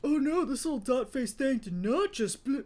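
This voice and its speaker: A woman's voice: very high voice, very animated, moderate pace, mellow, pitched above the performer's own voice. A woman voicing a big, sassy, tough-talking teenage girl in a story reading, with a brash, mocking, slangy delivery. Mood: indignant, mocking.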